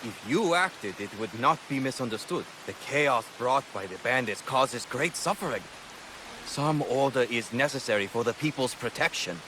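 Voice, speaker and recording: Grave samurai played by a man, steady hiss behind. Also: mid-range voice, normal speed, natural voice. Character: grave, dutiful samurai lord of feudal Japan.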